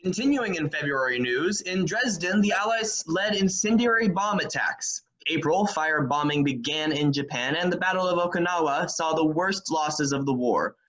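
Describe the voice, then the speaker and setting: A man's voice: on the high side, expressive, moderate pace, few pauses, a bit bright, poor audio. A man playing a 1940s radio announcer reading grave war news in a play table read over a video call.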